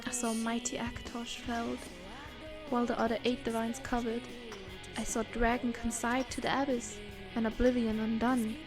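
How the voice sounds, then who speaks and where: A woman's voice: on the high side, flat delivery, moderate pace, natural voice. A woman voicing an ancient, weary otherworldly being in a fantasy game, speaking in a grave, formal, archaic way. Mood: solemn, weary. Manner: formal, archaic, solemn storytelling. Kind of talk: fantasy game character monologue. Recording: music under the voice.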